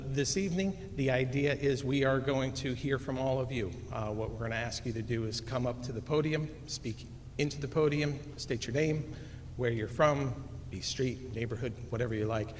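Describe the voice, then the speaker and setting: A man moderating a public city meeting, giving reminders and inviting speakers, with many uh pauses. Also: mid-range voice. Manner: announcing, filled with uh pauses. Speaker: city manager moderating the session. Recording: echoey hall with faint room noise.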